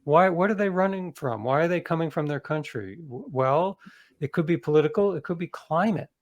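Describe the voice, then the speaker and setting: An American man speaking as an interview guest, explaining things conversationally and earnestly, often halting and rephrasing as he goes. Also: a little high.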